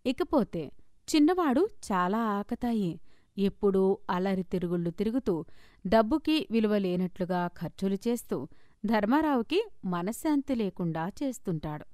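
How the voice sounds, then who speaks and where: Casting: female storyteller for scripted moral tales, medium pitch, dry studio-like sound. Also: expressive, normal speed, darkish tone, dry recording.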